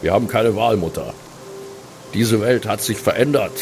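A man's voice: on the low side, normal speed, vocal fry, darkish tone, soft background music. A man playing an earnest, bookish dwarf son in an improvised audio roleplay, speaking formally and a little stiffly. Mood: solemn.

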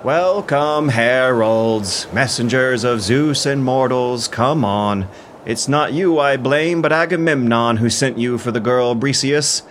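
A man's voice: mid-range voice, takes it slowly, slight background noise, pitched above the performer's own voice, close to an everyday voice. A man voicing a proud young warrior hero, aggrieved and pleading, in impassioned, declamatory audio drama style. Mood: bitter, defiant. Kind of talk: audio drama acting of epic poetry. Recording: music behind the voice.

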